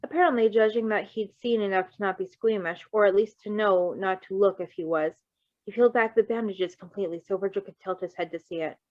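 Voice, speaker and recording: A woman's voice: mid-range voice, brisk, dry recording. A woman narrates fiction in a mid-range voice, playful and ready to riff in casual asides. The recording is very dry and slightly muffled. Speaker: reader of fan fiction.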